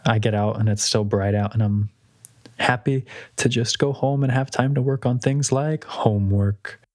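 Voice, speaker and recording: A young man co-hosting a podcast in casual chat, explaining things in a steady, measured way. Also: medium pitch, dry recording. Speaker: podcast co-host.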